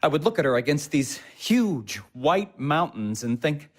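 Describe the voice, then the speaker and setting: A man's voice: a little high, sing-song, measured pace. An actor playing an earnest, bookish young husband in an audio play, hesitant and apologetic as he tries to comfort. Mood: wistful, confiding. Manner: hesitant, self-correcting, apologetic. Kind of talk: naturalistic audio play dialogue.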